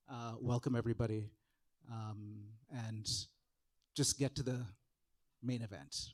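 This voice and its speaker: A man hosting an event, giving a halting introduction of the guest speaker with many pauses and fillers. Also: mid-range voice, a little mellow. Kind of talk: formal introduction.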